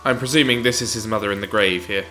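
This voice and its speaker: A man's voice: lowish voice, fast talker, pushed and bright. A man with a stuffy nose from hay fever, chatting wryly while playing through a video game and reading its text aloud. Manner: wry, chatty. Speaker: let's play host. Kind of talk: casual gameplay commentary. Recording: game music faintly behind.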